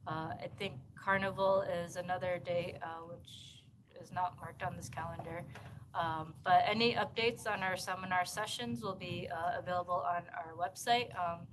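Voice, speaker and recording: A woman giving course announcements as an instructor in a seminar room, speaking in a halting, hesitant way. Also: lowish voice, steady tone. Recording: noisy room recording.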